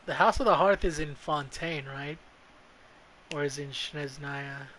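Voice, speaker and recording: A man's voice: fairly high pitch, measured pace, sharp tone, slight background noise. A man giving casual, irreverent, sweary gameplay commentary as a streamer. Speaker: game streamer. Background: game audio.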